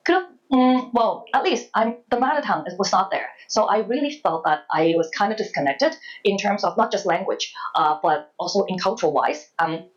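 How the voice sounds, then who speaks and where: A woman in her thirties from Hong Kong, with a Hong Kong accent, answering interview questions reflectively in a halting, hesitant way with repeated words. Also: medium pitch, animated, highs cut off. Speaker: interview guest, former journalist.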